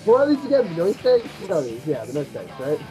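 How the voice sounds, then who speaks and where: Casual gaming commentator over clear music. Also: mid-range voice, very animated, quick pace. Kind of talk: casual group playthrough commentary. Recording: game music behind voice.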